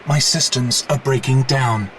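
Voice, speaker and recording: A man's voice: medium pitch, unhurried, fairly bright tone, a little hiss or hum, close to an everyday voice, poor audio. An actor voicing a polite, unflappable male artificial intelligence assistant in a video game, formal and factual. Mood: calm reporting. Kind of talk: video game cutscene acting.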